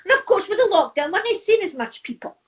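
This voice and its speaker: A middle-aged woman chats easily, with a high voice that swoops up and down. She laughs often and circles back over her own words. Her talk is loose and friendly. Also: very animated, quick pace. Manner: chatty, laughs often, repeats herself. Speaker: co-host. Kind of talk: casual chat.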